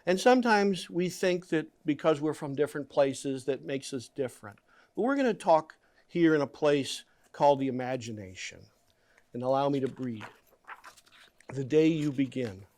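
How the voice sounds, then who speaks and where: Higher-voiced man reading a picture book aloud. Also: lively intonation, normal speed, a little mellow. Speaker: guest story reader. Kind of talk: expressive read-aloud of a children's picture book.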